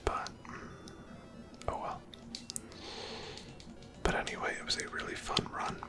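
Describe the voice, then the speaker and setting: A person whispering ASMR gameplay commentary in a breathy, unvoiced voice, gently musing aloud. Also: a little slow, loud music behind the voice. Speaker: video game streamer narrating own playthrough.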